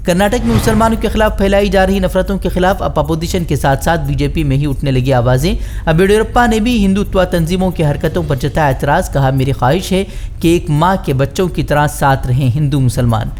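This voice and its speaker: A man's voice: fairly high pitch, darkish tone, a little hiss or hum. A man reading scripted speed news headlines as a news presenter. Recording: background music under the voice. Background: news background music.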